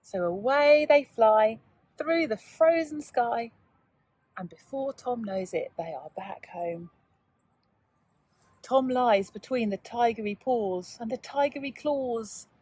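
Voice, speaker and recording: A woman speaks as a teacher to young children, reading and explaining at a measured tread. Her voice is fairly high with a husky texture, recorded very dry. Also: expressive, darkish tone, no echo. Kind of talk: children's read-aloud and informal lesson talk.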